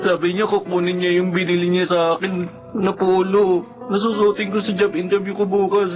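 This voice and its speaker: Actor as young Filipino man, frightened kid brother, high voice, pleading, dull sound, faint music. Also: completely flat delivery, normal speed, lightly acted. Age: young adult. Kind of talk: Filipino melodrama acting.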